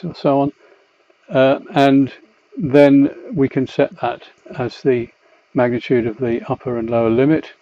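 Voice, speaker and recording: A man explaining a technical software demonstration in a methodical, hesitant way, pausing as he goes. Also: mid-range voice, creaky, no room sound. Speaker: company executive presenting a product tutorial. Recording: slightly dull, muffled lo-fi voice track.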